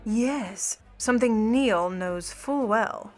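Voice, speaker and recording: Sly 1950 Hollywood starlet-thief , female actor, higher-pitched, condescending, syrup over steel, music underneath. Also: slow. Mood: guarded, smooth. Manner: arch, syrupy politeness. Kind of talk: 1950 noir radio-play acting.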